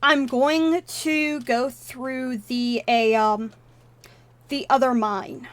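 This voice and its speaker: A woman's voice: high-pitched, steady tone, extremely slow. A woman whose first language is Russian, casually commenting on a game on a live stream and thinking aloud as she wanders into tangents.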